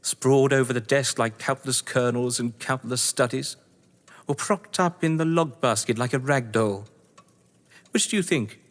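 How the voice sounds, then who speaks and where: An actor playing a cold, gloating crime novelist and betrayed husband in an audio drama, speaking with eloquent, taunting menace. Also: male voice, mid-range voice, normal speed, natural voice. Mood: gloating relish. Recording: reverberant hall-like room.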